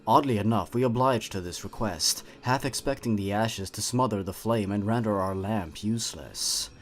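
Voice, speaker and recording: A man with a fairly low voice reads eerie short stories aloud in a measured, scripted way. His delivery grows hushed and quiet as the tension builds, and it keeps an even, deliberate pace. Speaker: horror story narrator. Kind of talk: scripted horror narration.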